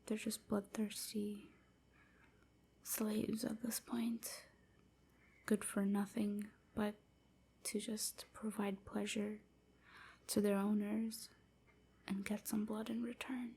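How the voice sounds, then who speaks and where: Woman acting a breathy, slow, sorrowful vampire slave. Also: medium pitch, level tone, full character voice. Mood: bitter sorrow. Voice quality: breathy, husky, often near whisper. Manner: slow, hesitant, softly confiding. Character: melancholy enslaved female vampire, gentle, wounded, grateful. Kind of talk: intimate roleplay audio drama monologue.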